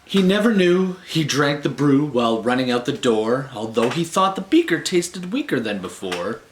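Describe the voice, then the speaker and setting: A man giving an expressive, rhythmic and playful theatrical reading of comic verse. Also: medium pitch, normal speed, slight background noise. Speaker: reader of comic poetry on own channel.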